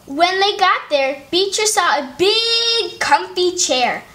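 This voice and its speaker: Children's storybook host, female, notably high voice, read-aloud narration. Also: lively intonation, slow pace, bright, forceful tone.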